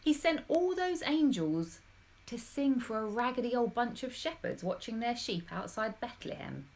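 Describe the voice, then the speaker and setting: Expressive woman reading a children's story. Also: a little high, moderate pace, gravelly. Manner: animated, dramatic, addressing young listeners directly. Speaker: storybook reader.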